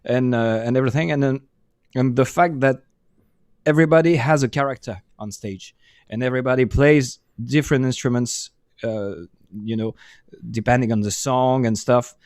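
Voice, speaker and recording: A middle-aged man with a French accent, a musician guest chatting casually and often pausing to search for English words. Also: medium pitch, darkish tone, no echo, clean studio sound. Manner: halting, many restarts and fillers, searching for English words. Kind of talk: casual interview chat.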